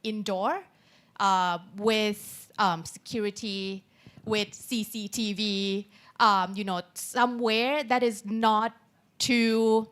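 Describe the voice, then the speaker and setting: Halting non-native English-speaking woman on a panel. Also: mid-range voice, steady tone, fairly bright tone. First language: not English. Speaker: panel speaker answering audience questions. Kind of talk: unscripted panel answer.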